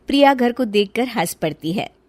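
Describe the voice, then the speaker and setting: Woman narrator, high pitch, scripted storytelling, very dry recording. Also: expressive, moderate pace, darkish tone, dry recording.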